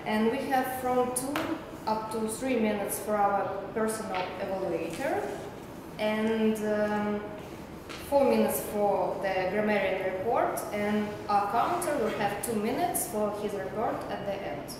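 A young woman explains the timing rules slowly and carefully, reading from her notes. Her voice rings through a large hall with long reverb. A steady hiss sits behind it. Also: medium pitch. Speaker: meeting timer. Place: large indoor meeting room.

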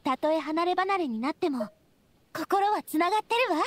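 A woman's voice: extremely high pitch, expressive, normal speed, dry recording. A woman playing a cheerful, confident young female boss in an anime game, breezy and feminine in manner. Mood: sincere, friendly. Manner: breezy, warm-hearted, feminine. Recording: background music behind the voice.

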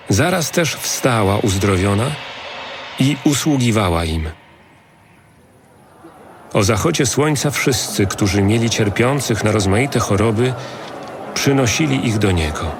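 A man narrating the Gospel in a measured, calm scripted reading. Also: fairly low pitch, background noise.

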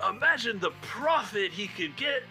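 Man putting on a loud greedy schemer. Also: sits high, animated, moderate pace, soft background music, voice pushed up, heavily acted. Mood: greedy excitement.

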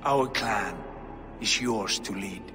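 An actor playing a brooding Viking jarl, speaking in grave, measured tones. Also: male voice, lowish voice. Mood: solemn. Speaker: Viking leader. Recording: reverberant game mix with music.

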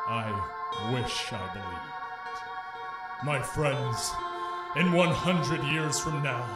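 Grave 1920s radio terror host, acted by a man, slightly high voice, unhurried florid phrasing, music behind. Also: distant mic. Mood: grave, ominous. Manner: theatrical, portentous, slow deliberate phrasing. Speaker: host of a radio horror program. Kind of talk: old-time radio acting on stage. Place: dark small performance stage. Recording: echoey live stage, music behind.

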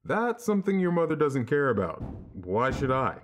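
A woman's voice: rumbling low, very animated, normal speed, soft background music. A woman playing a menacing, vulgar male mobster with a mocking, swaggering manner in a crime comedy audio drama. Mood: smug threat.

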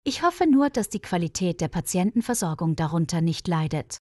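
A woman voicing a reserved, thoughtful male nurse in a storybook, in a measured, sincere way. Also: on the low side, lively intonation, a little mellow, dry recording, clean studio sound, close to an everyday voice. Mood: thoughtful, concerned. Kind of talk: storybook dialogue reading.

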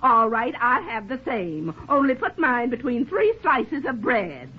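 A muffled, noisy 1940s radio recording carries a dithering lady at lunch. Her fairly high voice swoops dramatically up and down as she fusses. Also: sing-song, a little slow. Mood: fussy.

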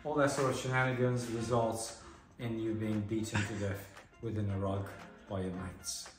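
A man in his forties telling a dry, deadpan tale in a brick workshop, filmed on a phone. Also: on the low side, normal speed. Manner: dry, deadpan joking delivery. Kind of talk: casual deadpan storytelling. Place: inside a brick workshop room. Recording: roomy echo with music over it.

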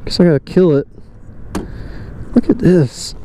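A man's voice: high voice, lively intonation, measured pace. A young man talking casually while riding a motorcycle outdoors, rambling and getting frustrated, recorded on a helmet camera mic. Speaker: motovlogger. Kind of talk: casual ride-along vlog. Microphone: helmet or GoPro mic while riding. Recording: loud engine and wind noise.

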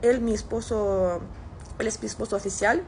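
A woman's voice: mid-range voice, steady tone, moderate pace, slight background noise. A woman in her thirties from Russia, with a Russian accent, chatting casually to camera as she replies to comments. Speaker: social media content creator. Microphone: phone at arm's length.

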